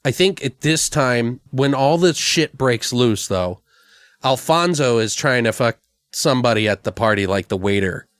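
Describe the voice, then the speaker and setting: A man co-hosting a podcast, casually brainstorming a comedic horror story in a crude, animated, sweary way. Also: medium pitch, moderate pace, clear, resonant tone, dry recording, clean studio sound. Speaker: podcast co-host.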